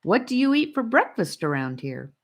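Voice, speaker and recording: A perky little storybook boy, acted by a woman, playful and hungry. The delivery is animated, with pitch that bounces up and down. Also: normal speed. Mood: curious.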